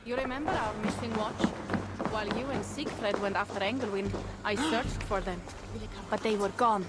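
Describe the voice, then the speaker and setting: A woman's voice: mid-range voice, normal speed, heavy background noise, natural voice. An actress playing a loyal, proud Viking warrior woman in a video game cutscene, speaking earnestly and solemnly. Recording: game audio with reverb and music.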